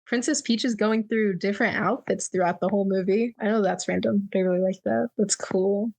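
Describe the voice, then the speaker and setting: A woman's voice: mid-range voice, steady tone, brisk, vocal fry, darkish tone. A woman co-hosting a casual movie podcast, curious and giggly, asking lots of questions. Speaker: podcast co-host. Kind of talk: casual movie review chat.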